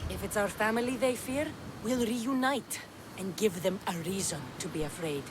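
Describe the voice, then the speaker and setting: A woman's voice: medium pitch, normal speed, noisy background, close to an everyday voice. A woman playing a fierce, earnest young Greek mercenary and protective daughter in a dramatic video game. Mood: defiant, earnest.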